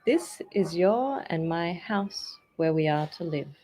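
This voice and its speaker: A woman's voice: fairly low pitch, lively intonation, takes it slowly, warm tone, slight background noise. A woman voicing a scornful male beggar musician who answers his bride curtly. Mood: brusque. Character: scornful beggar musician man, secretly a king.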